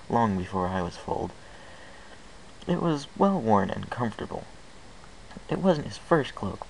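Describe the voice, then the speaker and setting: Male amateur story narrator, lowish and gravelly, reading in first person over faint hiss. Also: low-quality recording. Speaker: amateur fan fiction reader. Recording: hissy amateur home recording.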